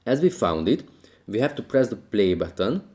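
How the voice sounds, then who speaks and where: A man's voice: on the low side, sing-song, normal speed, a little mellow, a little hiss or hum. A man narrating a step-by-step how-to tutorial as its host.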